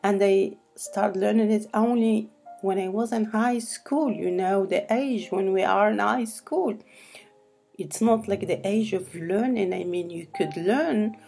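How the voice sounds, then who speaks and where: A woman's voice: mid-range voice, measured pace, vocal fry, dark tone, faint music behind. A woman from Morocco whose first language is Arabic, speaking English in a rambling, unscripted tutorial full of restarts and fillers. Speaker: self-publishing tutorial host.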